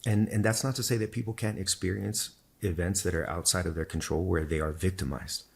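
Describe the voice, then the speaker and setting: Deep gravelly man, Spanish native speaker. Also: fairly even intonation. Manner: thoughtful, measured, explanatory. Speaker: podcast guest. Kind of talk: reflective personal storytelling in conversation.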